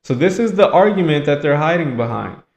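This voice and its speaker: A man's voice: a little high, lively intonation, brisk, vocal fry, darkish tone. A man giving political commentary in an emphatic, rhetorical way, repeating phrases for effect. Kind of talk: political commentary mixed with reading aloud. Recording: echoey, roomy sound.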